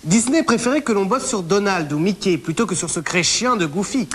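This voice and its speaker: A woman's voice: mid-range voice, lively intonation, fairly quick. A woman co-hosting a magazine show, reading a scripted presentation in a playful manner. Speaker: TV co-host. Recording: hissy background noise.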